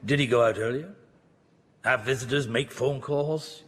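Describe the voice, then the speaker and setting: An actor playing a weary, dogged middle-aged police detective who narrates his case in a radio drama, with a gravelly voice. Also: mid-range voice, unhurried, a bit bright. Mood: stern, terse. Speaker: narrator and lead character. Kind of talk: radio drama acting, first-person narration.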